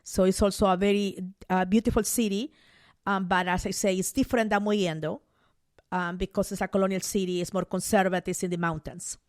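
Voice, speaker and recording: A woman's voice: mid-range voice, fairly even intonation, fairly quick, a little mellow, dry recording. Middle-aged Peruvian woman, dry sound. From Peru. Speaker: guest author. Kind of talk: podcast interview.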